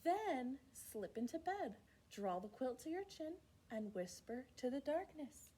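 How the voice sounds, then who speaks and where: A woman reads to small children in a high, very melodic voice. Her pace is unhurried and her manner is playful and encouraging, with exaggerated child-directed delivery. Some background noise sits behind her. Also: very animated, dark tone. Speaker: children's library storyteller. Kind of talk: animated read-aloud and singalong for young children.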